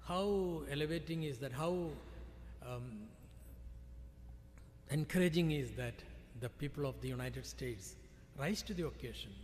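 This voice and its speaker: A man's voice: mid-range voice, a little mellow, a little hiss or hum. A middle-aged man from India with an Indian accent, a visiting priest giving a sermon, earnest, with emphatic rises on key phrases. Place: large church, at the pulpit. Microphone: pulpit microphone. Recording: reverberant church from a far camera.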